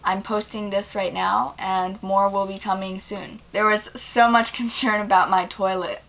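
A woman's voice: medium pitch, measured pace, creaky. Young woman chatting casually on camera. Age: 20s. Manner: relaxed, rambling, many ums and likes. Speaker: vlogger. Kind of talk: casual Q&A vlog. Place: small indoor room, bed behind.

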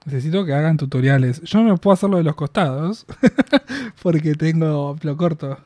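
A young Argentine man gives a casual spoken review into a boom mic in a small room. His voice sits a bit high but mellow, and it sounds dry and close. He is chatty, laughs often and stretches out his fillers. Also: lively intonation, normal speed, dry recording. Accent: Argentine Spanish. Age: 20s. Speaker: reaction video host. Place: small room in front of packed collectible shelves. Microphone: studio mic on boom arm, headphones on.

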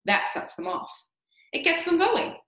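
A woman voicing a strange, excitable old man in a storybook reading, in an echoey room. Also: very high-pitched, measured pace, voice pushed up, heavily acted. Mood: urgent. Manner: hushed and then wildly urgent.

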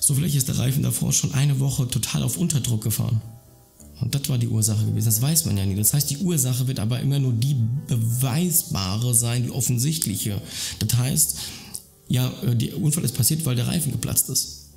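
A man in his thirties with a deeply gravelly, fry-heavy voice talks straight to the camera from a dim room at home. He speaks earnestly, circling back with restarts and fillers as he explains. Faint music plays quietly underneath. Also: brisk. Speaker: YouTuber talking about mental health. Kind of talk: casual explanatory monologue to camera.

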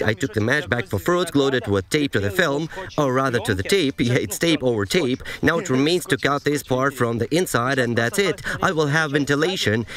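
A young man chatting casually as he shows off a homemade tent in a forest, recorded on a camera mic. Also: mid-range voice. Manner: chatty, showing off his build. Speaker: vlogger. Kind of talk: casual outdoor DIY vlog.

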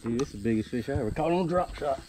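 A man's voice: medium pitch, expressive, very fast, mellow. A man chats casually as a fishing companion on a boat, picked up by his partner's action camera. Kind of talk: casual chat while fishing. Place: outdoors on a small boat on a river. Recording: noisy outdoor boat audio.

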